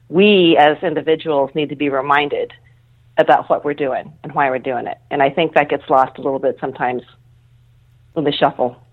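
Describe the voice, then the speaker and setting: Middle-aged female interview guest, low register, earnest and conversational, heard over a thin telephone-style connection. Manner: earnest, informal, often rephrasing. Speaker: interview guest, air traffic control manager. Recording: thin phone-like line.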